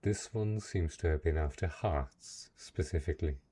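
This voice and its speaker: A man acts out a dark knight, lord of a lonely castle, in a fantasy romance roleplay. His voice is very low, dark and husky. He speaks formally and earnestly, with a possessive streak and a hesitant delivery. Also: moderate pace. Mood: brooding, jealous.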